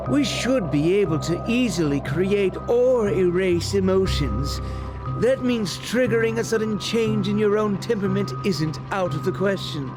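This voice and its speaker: A pompous monkey philosopher for a video game. His voice is high for a man, and he lectures in grave, wordy pronouncements. Music plays clearly behind him.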